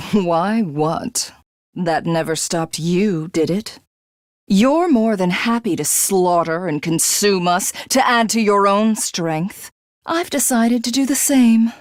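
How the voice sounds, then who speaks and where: A woman's voice: medium pitch, a little mellow, no echo, clean studio sound. A woman voicing a megalomaniac alien warrior from a video game, haughty and theatrical, who devours rivals to become a god. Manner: haughty, grandiose, theatrical, self-satisfied. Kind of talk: video game character voice acting.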